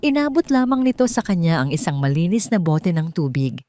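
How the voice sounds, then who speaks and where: Female Filipino narrator, lower register, highly varied intonation, dramatic audiobook storytelling. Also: animated, a little fast, warm tone, no room sound.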